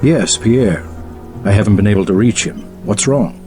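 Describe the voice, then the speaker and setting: An actor playing a composed, formal man in a video game, speaking in measured tones. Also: on the low side, music in the background, natural voice.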